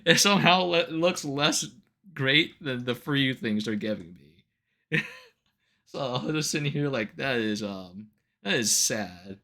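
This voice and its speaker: A man chatting casually on a livestream, ranting to viewers in an incredulous, animated way with casual swearing. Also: medium pitch, takes it slowly. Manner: laughs often between remarks. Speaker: live streamer.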